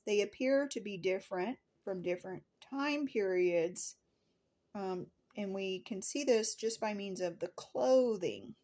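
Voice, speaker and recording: A woman's voice: mid-range voice, a little mellow. A woman talking casually and earnestly to her viewers as a spiritual vlogger, stating things declaratively and repeating phrases for emphasis. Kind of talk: casual spoken monologue to viewers.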